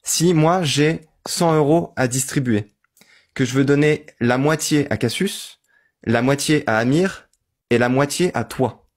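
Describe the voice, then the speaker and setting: A man's voice: mid-range voice, measured pace. A man with a mid-range voice argues patiently in an online voice-chat debate. He builds his point step by step and keeps asking the other side to agree. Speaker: debate host.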